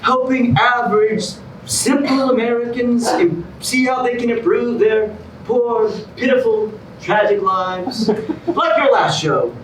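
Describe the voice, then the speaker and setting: Gushing male contest host, acted by a man, very high voice, showy stage comedy; echoey, noisy distant recording. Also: slow pace. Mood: fawning. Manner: showy, fawning. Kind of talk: musical comedy staged reading.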